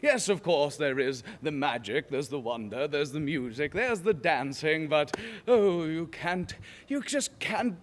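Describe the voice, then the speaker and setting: Gloating pantomime villain, evil sorcerer, theatrical swooping delivery, fairly high pitch, reverberant hall. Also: male voice, lively intonation, a little slow. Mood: gloating relish. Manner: theatrical, menacing, grandiose. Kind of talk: in-character pantomime villain interview.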